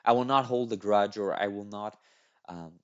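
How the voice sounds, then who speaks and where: A man's voice: fairly low pitch, moderate pace, clean tone. A young man, not a native English speaker, reading and commenting on a thread as a channel host, restarting words and adding tag questions. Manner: repeats and restarts words, many "right?" tags. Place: small room at a desk. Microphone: headset. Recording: dull lo-fi audio.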